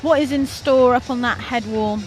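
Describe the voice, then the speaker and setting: A woman's voice: on the high side, normal speed, soft background music, low-quality recording. A young woman from North Wales giving chatty, enthusiastic live commentary on a climbing competition, often laughing at her own slips.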